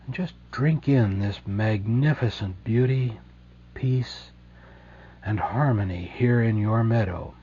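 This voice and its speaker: Male meditation guide, dark relaxed tone, gentle and unhurried with deliberate pauses; slightly dull recording with some background hum. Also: lowish voice, husky. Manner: slow, soothing, gentle delivery with deliberate pauses.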